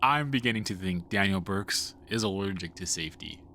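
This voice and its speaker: Bright, casual low-voiced male reporter , acted by a man. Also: expressive, a little fast, exaggerated voice. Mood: hesitant, pleased. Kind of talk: radio drama acting.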